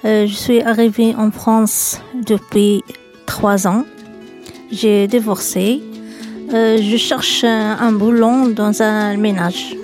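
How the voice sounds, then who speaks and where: A woman in her thirties gives a self-introduction in non-native French. She talks slowly at a medium pitch, with faint guitar music behind. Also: little pitch movement, a little mellow. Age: 30s.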